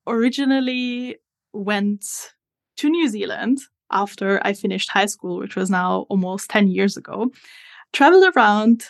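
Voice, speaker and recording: A woman's voice: mid-range voice, measured pace, vocal fry. A woman in her twenties from Italy with an Italian accent, a guest on a podcast, explaining her work step by step with frequent fillers. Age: 20s. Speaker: social media strategist guest. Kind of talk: podcast interview.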